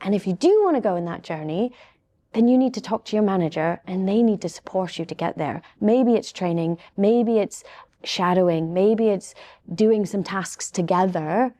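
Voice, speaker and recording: A soft-spoken woman talking on a tech panel. She has a mid-range voice and a measured, thoughtful delivery, and she slows down when she wants a point to land. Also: medium pitch. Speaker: panel guest. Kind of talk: casual panel discussion.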